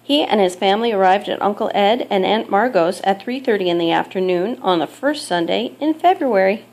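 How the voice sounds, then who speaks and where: Mother reading a picture book: female, mid-pitched voice, measured and expressive storytelling delivery. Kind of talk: children's picture book read-aloud.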